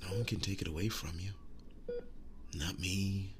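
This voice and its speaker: Man acting a low, whispery devoted werewolf boyfriend. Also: noisy background. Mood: earnest, reassuring. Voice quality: breathy, often dropping into whispers. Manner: slow, halting, soft-spoken reassurance. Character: devoted male werewolf boyfriend, tender, wounded, earnest. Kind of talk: intimate ASMR roleplay monologue.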